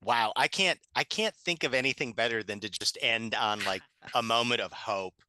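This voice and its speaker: A man's voice: mid-range voice, normal speed, sharp tone, dry recording. A man hosting a theatre panel show, speaking casually and effusively as he wraps things up. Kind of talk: casual, informal show sign-off.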